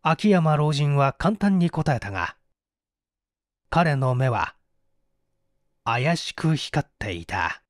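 A man reading a mystery story as a narrator, in a measured, dramatic storytelling style. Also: fairly high pitch, lively intonation, no echo, clean studio sound. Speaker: audiobook narrator.

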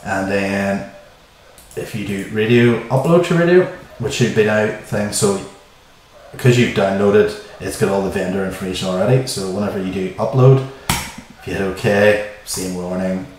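A man in his forties talks through a tutorial in an informal, plain-spoken way. His voice is low-pitched. It is picked up a little way from the microphone in a small, very reverberant room. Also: moderate pace, a little mellow. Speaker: host of a how-to video. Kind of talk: casual tutorial explanation. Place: small bare-walled room indoors. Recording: echoey small room, distant mic, faint noise.

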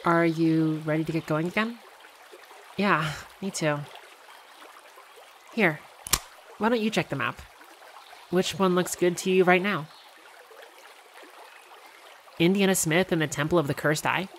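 A performer voicing a cheerful, affectionate girlfriend on a theme park date, speaking gently and unhurriedly in a one-sided ASMR roleplay. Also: mid-range voice, a little hiss or hum, natural voice. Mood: tender, soothing, then upbeat. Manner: gentle, unhurried, softly conversational.

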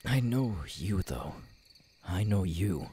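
A man acting a twitchy, unstable young man with tics in an ASMR roleplay, halting and stammering, menacing yet apologetic. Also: sits low, slightly rough, heavily acted. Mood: halting, wary. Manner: halting, stammering, broken by sudden shouted outbursts. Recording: night crickets, steady background noise.

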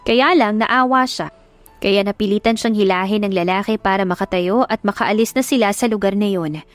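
A woman's voice: mid-range voice, normal speed, no room sound, soft background music. A woman reading a dramatic scripted love story as an audiobook narrator.

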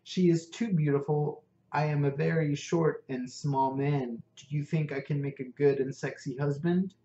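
A man voicing a gentle, small young taxi driver with an Indian English accent, talking in an earnest, halting way. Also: medium pitch, lightly acted. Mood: tearful. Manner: earnest, halting, open-hearted. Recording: roomy, slightly dull recording.

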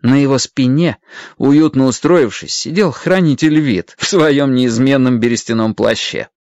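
A narrator reading a radio-play story in an expressive, scripted storytelling style. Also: medium pitch, a little slow, no room sound, dull audio.

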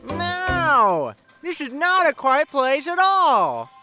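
Slow-talking fussy cartoon rabbit. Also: female voice, sits high, sing-song, over loud music, heavily acted. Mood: indignant. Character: fussy rabbit seeking absolute quiet for a friend. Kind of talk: children's cartoon dubbing.

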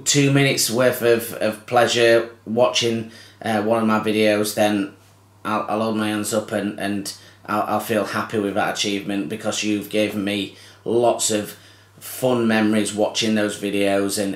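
A man, hosting a fishing channel and giving a heartfelt tribute to camera, halting with many restarts and ums. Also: lowish voice, echoey room. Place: small indoor room with curtains. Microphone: camera close to face.

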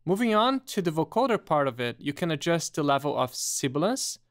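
A man presenting a step-by-step software tutorial in a methodical, explanatory way. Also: fairly high pitch, big pitch swings, fairly quick.

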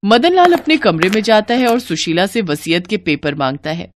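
A woman's voice: mid-range voice, expressive, normal speed, slight background noise. A woman narrating a scripted cartoon story in a dramatic storytelling style.

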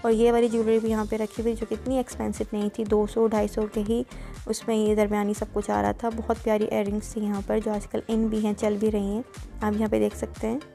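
Fast-talking woman, soft dark voice. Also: medium pitch, completely flat delivery, creaky, soft background music. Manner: chatty, explains prices step by step. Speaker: vlogger touring a market. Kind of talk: casual voice-over narration.

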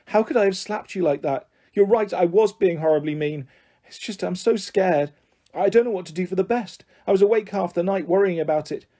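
A woman's voice: on the low side, brisk, mellow, voice pushed up, close to an everyday voice. A woman voicing an anxious, snappish but loving mother in a storybook. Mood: tearful, remorseful.

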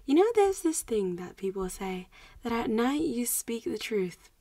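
A young woman plays a popular vampire schoolgirl in an audio roleplay. Her voice is fairly high and breathy, often sinking toward a whisper, recorded close and dry. She talks straight to the listener in a playful, teasing, protective way. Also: big pitch swings, unhurried, warm tone, no room sound, exaggerated voice. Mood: casual, protective. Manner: playful, giggly, intimate.

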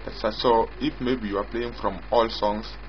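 A man giving an informal screen-recorded software demo as its developer, in a halting way, often repeating and rephrasing. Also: lowish voice, steady tone, a little hiss or hum. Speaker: software developer demonstrating own app. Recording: dull lo-fi mic with prominent music.

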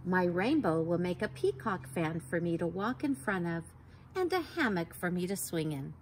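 A woman in her forties reading a picture book aloud to young children outdoors, slow and sing-song, sounding out letters and gasping for effect. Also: on the low side, big pitch swings, a little hiss or hum. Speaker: storytime reader for children. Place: backyard patio outdoors by a wooden fence.

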